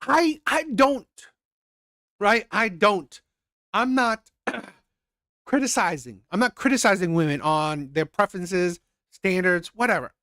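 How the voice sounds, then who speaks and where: A man's voice: sits high, animated, unhurried, bright, forceful tone, no echo, clean studio sound. A man commenting on a video clip in a mocking tone, repeating his points and mimicking voices. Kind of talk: reaction commentary.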